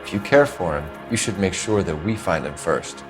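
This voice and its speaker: Actor as formal, probing police detective; low, lively delivery, music bed underneath. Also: male voice, normal speed, close to an everyday voice. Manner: polite but pressing, formal.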